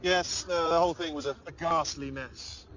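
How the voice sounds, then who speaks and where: A man's voice: on the high side, fairly even intonation, moderate pace, fairly bright tone, natural voice. An actor playing a shifty, matey foreign businessman, blustering and hesitant, in a satirical comedy. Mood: blustering, evasive. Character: shifty, affable foreign businessman claiming to be Texan. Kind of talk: satirical biopic parody. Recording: muffled lo-fi audio with faint noise.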